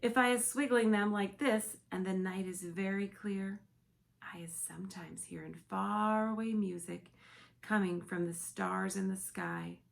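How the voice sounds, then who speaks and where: Lady doing a gentle big-eared giant, mixed-up grammar, slow and gravelly. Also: mid-range voice, fairly even intonation. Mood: earnest. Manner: ungrammatical, earnest, mixed-up words.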